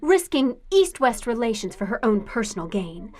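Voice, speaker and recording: A woman's voice: a little high, sing-song, normal speed, darkish tone. A woman playing a cunning, playful lady cat burglar in a radio drama, arch and theatrically coy. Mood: mock innocence. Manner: arch, theatrically mock-innocent. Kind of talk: radio drama acting and narration.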